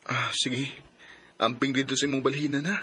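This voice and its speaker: An actor playing a shy, lovestruck young sweetheart in a Cebuano radio drama, with a Filipino accent. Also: male voice, medium pitch, level tone, normal speed, pushed and bright, husky, dry recording. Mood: earnest. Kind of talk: Bisaya radio drama acting.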